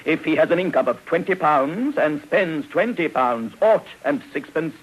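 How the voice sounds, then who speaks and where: An actor playing a pompous, florid debtor husband, theatrically tragic yet hopeful, in 1950s radio drama. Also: male voice, a little high, lively intonation, normal speed, husky, low-quality recording. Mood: solemn, grandiloquent. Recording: hissy old 1950s radio recording.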